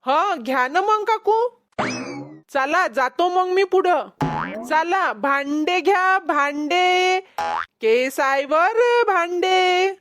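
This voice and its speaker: A woman's voice: very high-pitched, very slow, long pauses, fairly bright tone, soft background music, exaggerated voice. A woman voicing a boastful, haggling young male street peddler in a cartoon comedy, with a Vidarbha Marathi accent. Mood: businesslike, agreeable. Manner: cocky, bargaining.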